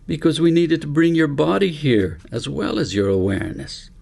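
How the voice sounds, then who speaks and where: A man voicing a wise, patient old grandfather in a storybook, explaining things in a measured, instructive way. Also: mid-range voice, vocal fry, a little mellow, husky. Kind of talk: storybook reading.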